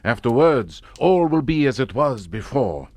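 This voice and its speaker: An actor playing a composed male hypnotist in an audio horror drama, measured and assured. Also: mid-range voice, very animated, a little mellow, dry recording. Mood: calm authority.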